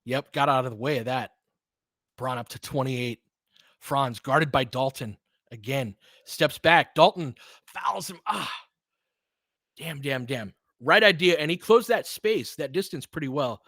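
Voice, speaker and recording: A man hosts live basketball play-by-play in a casual, analytical way. He talks like a fan chatting with listeners, with mild slang, at a middling pitch. The sound is close and dry, with very little room. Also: unhurried, fairly bright tone, no echo. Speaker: play-by-play commentator and host.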